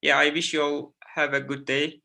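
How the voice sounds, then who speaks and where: A middle-aged man from Turkey speaks English with a Turkish accent, answering students' questions as a professor. His voice sits a little high and sounds bright and forceful. He halts often, filling pauses with "uh" and restarting his sentences. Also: level tone, vocal fry. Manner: halting, many "uh" fillers and restarts. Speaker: university professor. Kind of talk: online info session answering student questions.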